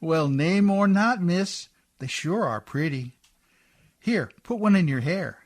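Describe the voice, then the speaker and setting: A man's voice: sits high, lively intonation, takes it slowly, full character voice. Man acting a folksy, plucky riverboat man. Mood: earnest, folksy. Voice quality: folksy rustic diction. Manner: deferential, plain-spoken, hearty. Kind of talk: radio play acting.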